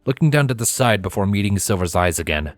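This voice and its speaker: A man's voice: on the low side, lively intonation, fast, no room sound. A man reading a scripted story aloud as its narrator. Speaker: fan fiction narrator. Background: background music.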